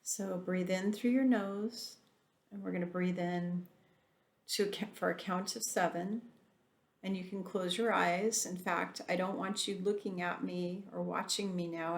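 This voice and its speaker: A woman leading a guided meditation in a softened, soothing voice, drawing out slow breathing instructions. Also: on the low side, creaky, roomy sound.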